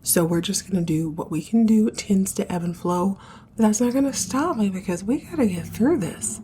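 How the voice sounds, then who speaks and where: A woman in her thirties from the United States hosting a soap opera recap podcast, speaking in a hushed, half-whispered way because she has partly lost her voice. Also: mid-range voice, moderate pace, slight background noise. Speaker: podcast host.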